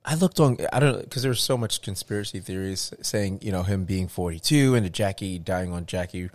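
Low-voiced man in rambling casual chat. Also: fairly quick, dry recording. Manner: rambling, animated, many restarts and fillers.

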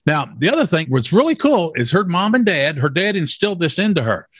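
A man's voice: a little high, sing-song, brisk, fairly bright tone, slightly rough, dry recording. Man, interview host, emphatic, repeats himself, asks "okay?", dry recording. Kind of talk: promotional interview.

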